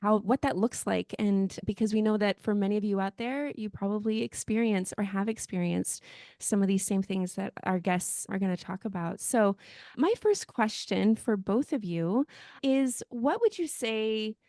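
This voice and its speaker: A woman hosting a casual podcast interview, eager and quick to exclaim and laugh. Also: medium pitch, warm tone, no room sound, studio quality. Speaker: podcast host.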